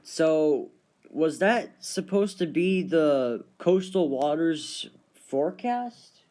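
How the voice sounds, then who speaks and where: A man's voice: a little high, expressive, slow pace, dark tone. A man chatting casually as a video creator, with informal, hesitant and repetitive phrasing. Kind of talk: casual chat, reacting to radio channels.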